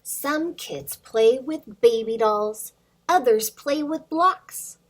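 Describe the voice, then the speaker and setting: A woman's voice: fairly high pitch, takes it slowly. A woman hosting storytime for young children, reading aloud expressively with sing-song emphasis and addressing questions to young listeners.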